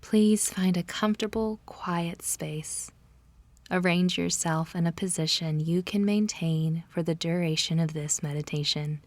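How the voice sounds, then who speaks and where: A woman narrating a scripted guided meditation in a soft, breathy voice, soothing and measured, leaving pauses between instructions. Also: lowish voice, dry recording.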